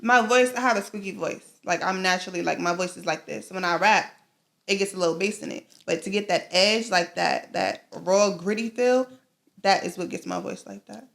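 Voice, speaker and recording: A young woman rapper from Queens with a raspy voice, talking loudly and playfully. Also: mid-range voice, normal speed. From Queens, New York, USA. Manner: loud, playful, swaggering. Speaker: rapper guest. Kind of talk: casual interview chat.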